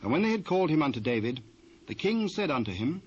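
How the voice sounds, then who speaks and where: A man with a British accent narrating scripture in a formal, measured way. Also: a little high, big pitch swings, darkish tone, breathy edge. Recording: dull, faintly noisy old cassette transfer.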